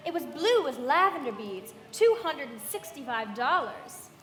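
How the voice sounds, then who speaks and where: Female socialite for live radio play; gossipy, sociable, animated, reverberant hall sound. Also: high voice, normal speed. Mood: breezy gossip. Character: breezy, gossipy socialite woman at a party.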